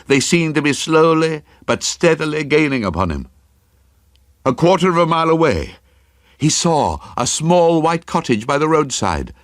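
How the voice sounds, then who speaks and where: A man giving a dramatic, brooding and deliberate scripted narration of a ghost story, as in a radio play. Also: on the high side, dry recording, clean studio sound.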